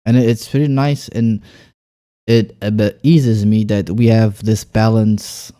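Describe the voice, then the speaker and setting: A man's voice: on the low side, level tone, a little slow, dark tone. A man who runs a small YouTube channel, hosting a casual interview and rambling with many fillers. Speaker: small YouTube creator, host.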